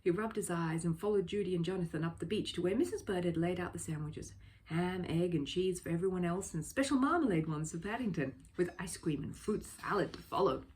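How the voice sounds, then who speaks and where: A woman reading a children's story aloud as an expressive narrator. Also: on the low side, a little fast. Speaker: storybook reader.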